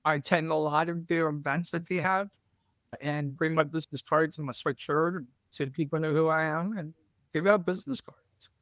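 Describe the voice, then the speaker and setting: A woman with a deep voice, chatting as a guest on a podcast and telling stories in a friendly, talkative way. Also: fairly even intonation, normal speed, no echo. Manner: chatty, tells anecdotes freely. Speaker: shop staff member and guest. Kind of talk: casual podcast chat.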